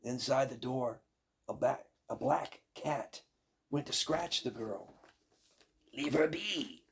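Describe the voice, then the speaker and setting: Gravelly older man telling a fairy tale. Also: mid-range voice, slight background noise. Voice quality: gravelly, raspy. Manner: measured, expressive storytelling. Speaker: storyteller. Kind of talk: scripted fairy tale narration.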